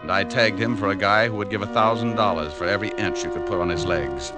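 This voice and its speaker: Man playing a low, wry private eye over music. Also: lightly acted. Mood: wry narration. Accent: American. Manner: wry, unhurried, hardboiled. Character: suave, quick-witted, wisecracking private detective. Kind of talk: old-time radio detective acting and narration. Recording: old radio broadcast with music underneath.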